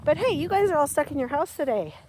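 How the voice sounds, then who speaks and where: A woman's voice: high-pitched, big pitch swings, fast, poor audio. A woman chatting casually to her camera outdoors on a farm, rambling and self-deprecating as she tells a story. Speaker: farm vlogger. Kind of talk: casual outdoor vlog chat. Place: outdoors on a farm by a frozen pond. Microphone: handheld camera. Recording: loud outdoor noise on camera mic.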